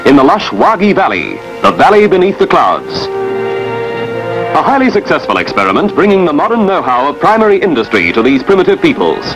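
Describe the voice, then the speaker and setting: A man's voice: a little high, big pitch swings, brisk, muffled recording. A man delivers formal, declamatory scripted narration in the style of a 1950s newsreel. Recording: 1950s archival newsreel soundtrack. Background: music.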